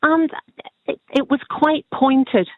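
A woman's voice: high voice, slow pace, no room sound. A woman from Northern Ireland with a Northern Irish accent, calling in to a radio show and telling a story hesitantly. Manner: hesitant, many restarts. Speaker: radio caller. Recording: tinny phone line.

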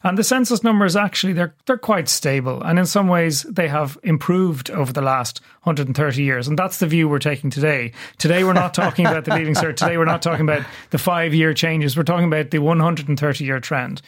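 A man with a Dublin Irish accent, a curator speaking as a radio guest, measured and fact-heavy, with frequent filler sounds. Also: fairly high pitch. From Ireland. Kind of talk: radio interview, explanatory.

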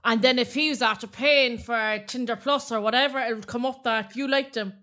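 A woman's voice: a little high, fairly even intonation, a little fast, bright, forceful tone. An Irish woman with a Cork accent chatting casually and bluntly as a podcast co-host. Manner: blunt, colloquial, sweary. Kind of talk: casual chat.

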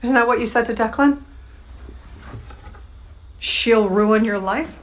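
A woman's voice: on the high side, slow pace. A bitter, wounded Irish woman. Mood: hurt, accusing. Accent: Irish (put on by actor). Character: bitter Irish woman confronting an old hurt.

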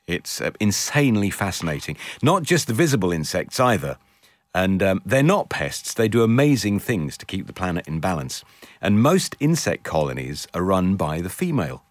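Middle-aged male presenter, low voice, measured phrasing, informal book review, very dry sound. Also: no echo. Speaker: radio presenter. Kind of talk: casual book recommendation.

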